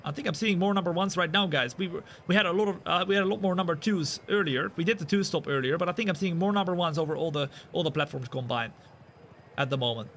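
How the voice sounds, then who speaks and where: Energetic male streamer. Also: a little high, fast, a little hiss or hum. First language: Dutch. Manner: energetic, hype shout-outs, rambling asides. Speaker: sim racing streamer. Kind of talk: live stream chat while gaming.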